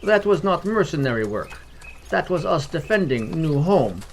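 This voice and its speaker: A man's voice: fairly high pitch, sing-song, moderate pace, a little hiss or hum, voice pushed up. A man voicing a solemn, blunt dragonborn paladin who speaks in clipped grammar with dropped articles. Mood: firm.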